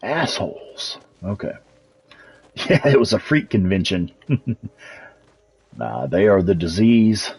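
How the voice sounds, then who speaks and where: Husky low-voiced Texan man. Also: sing-song, takes it slowly, pauses often, a little mellow. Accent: Texas. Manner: laid-back, chatty, swears casually. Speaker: gaming streamer. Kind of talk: live gameplay commentary, reading chat.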